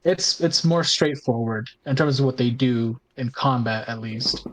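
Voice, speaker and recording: Mid-pitched podcaster in casual chat. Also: measured pace, a little hiss or hum, low-quality recording. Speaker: podcast cast member and player.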